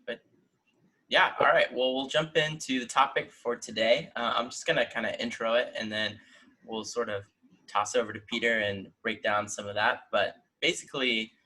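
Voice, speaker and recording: A man's voice: mid-range voice, fairly even intonation, normal speed, bright, forceful tone. A man in his twenties with an American accent, hosting a casual discussion podcast over a video call. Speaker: host. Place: indoor room at home, video call.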